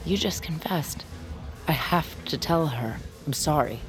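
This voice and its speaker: An actress playing a troubled, secretive woman in love, hesitant and hushed, in an intimate audio drama. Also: low-pitched, lively intonation, a little slow, faint music behind. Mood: upset. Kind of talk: intimate audio drama acting and confessional narration.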